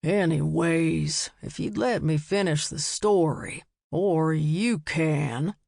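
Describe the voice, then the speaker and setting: A woman voicing a stubborn, worried small-town man in an audiobook, speaking gravely and deliberately. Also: deep voice, a little mellow, no room sound, studio quality. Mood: irritated.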